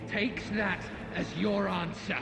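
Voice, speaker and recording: A man's voice: high voice, fairly even intonation, slow, vocal fry, full character voice. A man playing a ruthless, impatient villain in a video game cutscene, menacing and commanding. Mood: impatient menace. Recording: echoey hall, loud music behind.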